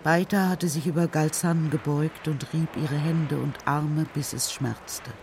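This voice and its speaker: A narrator reading a children's radio drama story in a measured, serious, literary style. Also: medium pitch, steady tone, noisy background.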